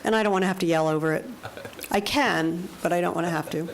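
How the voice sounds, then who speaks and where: A woman chairing a public hearing, brisk and friendly as she calls speakers. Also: on the low side. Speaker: meeting chair. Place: county commission chamber. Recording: noisy chamber with audience chatter.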